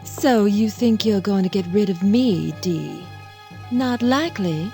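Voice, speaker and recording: A woman's voice: mid-range voice, a little slow, vocal fry, mellow, faint music behind. A woman playing a spoiled, haughty young Southern belle in an audio drama, drawling and taunting in a Southern accent. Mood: defiant, catty. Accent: Southern American.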